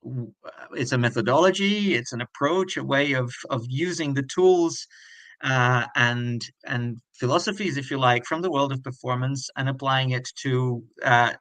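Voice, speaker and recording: A man in his forties from Brazil, whose first language is Portuguese, telling his story as an interview guest with many pauses and restarts. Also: medium pitch, a bit bright, no echo. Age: 40s. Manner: thoughtful, many restarts and repeated words.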